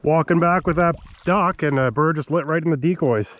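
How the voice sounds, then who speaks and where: A man's voice: a little high, a little fast, lo-fi sound, a little hiss or hum. Stuffed-up man on a marsh. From Nova Scotia, Canada. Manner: chatty, trailing off with "so" and "um". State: stuffed up from a cold. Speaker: hunting vlogger, channel host. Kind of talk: casual outdoor vlog narration. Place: outdoors at a tidal marsh.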